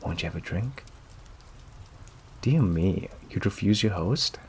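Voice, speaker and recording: Guy doing a silky possessive vampire asmr, really deep n creaky, polite but menacing, whispery bits, rain noise behind. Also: expressive, slow pace, a little mellow, exaggerated voice. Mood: seductive, teasing. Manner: silky, teasing, theatrically polite, often dropping to whispers. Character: suave possessive male vampire, menacing, seductive, courteous. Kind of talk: intimate ASMR roleplay acting. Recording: clear background noise and ambience. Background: rain and fireplace ambience.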